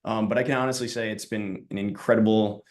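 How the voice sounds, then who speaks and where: A young man from Long Island, New York, introducing himself as a student panelist on a video call, relaxed with many fillers. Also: on the low side, quick pace. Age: young adult.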